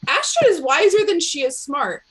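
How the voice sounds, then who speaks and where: A woman's voice: extremely high pitch, very animated, moderate pace, a bit bright. A woman playing a tabletop role-playing game, chatting casually and playfully about her character. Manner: playful, describes her character in third person. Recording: faint background noise.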